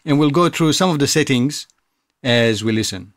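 A man in his thirties giving a casual software tutorial, explaining things step by step. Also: medium pitch, expressive, normal speed, no room sound, studio quality. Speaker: tutorial presenter.

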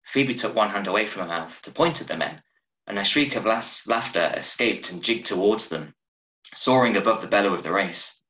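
Low, bright-voiced man reading a story. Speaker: story reader. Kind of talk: scripted narration, short story reading. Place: small room at home, on a video call. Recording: roomy, echoey video-call sound.

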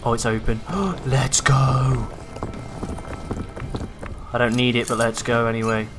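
Brief: male gaming commentator, slightly raspy, hyped, foul-mouthed, faint music underneath. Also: medium pitch, a little slow. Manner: excitable, swears freely, hypes viewers. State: slightly raspy from long talking. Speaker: gaming YouTuber. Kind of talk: casual gameplay commentary.

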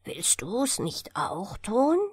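A woman voicing a small, gentle male mandrake sprite in a fairy-tale audiobook, earnest and entreating. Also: fairly high pitch, sing-song, extremely slow, husky, dry recording, studio quality, heavily acted.

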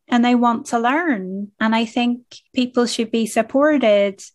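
A woman's voice: a little high, a little slow. A woman from Northern Ireland with a Northern Irish accent, hosting a conversational interview podcast, thoughtful and chatty with frequent "you know"s. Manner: thoughtful, hedging with "you know", laughs often. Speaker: podcast host.

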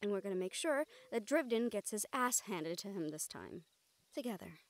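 A woman playing an excitable, caring young mage in an intimate audio roleplay, with animated, halting delivery. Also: mid-range voice, no echo, close to an everyday voice. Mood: gentle, resolute. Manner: animated, halting, swearing freely.

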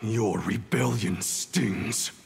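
A man's voice: on the low side, drawn-out words, vocal fry, husky, full character voice. A man playing a cold, proud and domineering ninja grand master in a fighting game, commanding and menacing. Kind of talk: dramatic fighting game dialogue.

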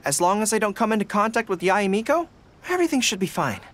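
An actor playing an earnest, easily flustered young dog-eared general in a video game. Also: male voice, high-pitched, big pitch swings, fast talker, fairly bright tone, a little hiss or hum. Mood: earnest, explanatory. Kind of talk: acted video game dialogue. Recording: music behind the voice.

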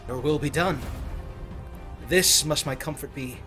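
An actor plays a proud young nobleman who takes his banishment with composure. The lines come at an unhurried pace, calm and measured. It is recorded on a webcam. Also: on the high side, music in the background, lightly acted. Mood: resolute. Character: proud young male noble accepting exile. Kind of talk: staged Shakespeare reading. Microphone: webcam on a video call.

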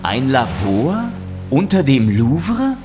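Reluctant police contact: mid-pitched, expressive, sceptical, radio drama. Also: male voice, slow, music in the background.

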